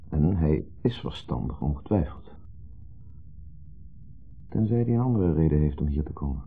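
Deep-voiced, insecure, jealous husband. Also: male voice, slightly rough, full character voice. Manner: hesitant, fretful, questioning. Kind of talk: 1970s Dutch radio play acting. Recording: muffled narrow-band 1970s radio recording.

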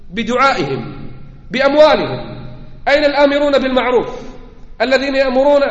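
A man preaches a fervent sermon in a high-pitched voice. His delivery is declamatory and rhetorical. The recording is slightly dull, with strong echo and a long reverberant tail from a large hall. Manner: fervent oratory, swinging from declamatory calls to quiet asides. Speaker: preacher giving a Friday sermon. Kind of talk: impassioned Islamic sermon, rhetorical questions.

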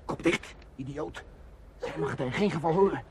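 A man's voice: fairly high pitch, normal speed, gravelly. An actor playing a teasing, commanding young man in a 1960s radio drama, theatrical and emphatic. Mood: tense. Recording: noisy old radio recording.

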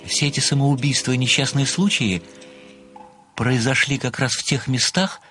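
An actor playing an earnest pensioner, an amateur detective and devoted admirer, speaking with formal, deferential precision in a theatrical audio drama. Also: male voice, medium pitch, fairly even intonation, normal speed, pushed and bright, natural voice. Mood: deferential, insistent. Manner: formal, deferential, precise, declamatory when enthused.

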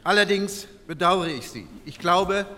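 Bright, high-voiced parliamentary delegate. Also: male voice, measured pace. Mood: earnest regret. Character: male parliamentary delegate of late-1940s Germany, earnest, regretful. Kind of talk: acted parliamentary debate speech. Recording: reverberant hall, some noise.

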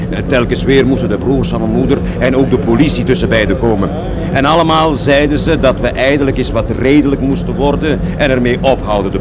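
An actor as rambling blunt son, noisy recording. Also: male voice, close to an everyday voice. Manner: rambling, hesitant storytelling with repetitions. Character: blunt, talkative working-class son mourning his brawling father. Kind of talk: radio play acting. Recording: noisy, vehicle rumble behind.

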